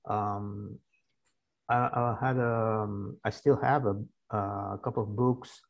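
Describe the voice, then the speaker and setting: Older argentine guy w spanish accent, gravelly, lots of uh and um, kinda muffled lo-fi sound. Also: lowish voice, fairly even intonation, takes it slowly. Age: 60s. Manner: hesitant, many fillers and restarts, laughs easily. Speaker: guest author. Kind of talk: casual interview chat.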